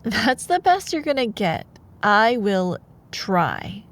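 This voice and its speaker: A woman reading a bedtime fairytale in a playful, expressive, wryly sarcastic way, as in a girlfriend role-play. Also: mid-range voice, takes it slowly. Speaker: ASMR storyteller playing a girlfriend.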